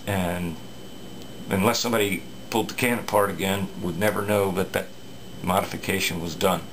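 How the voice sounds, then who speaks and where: A man's voice: low-pitched, fairly even intonation, moderate pace, fairly bright tone, slight background noise, rough recording. A man talking casually at his workbench, explaining a radio repair with many fillers and restarts.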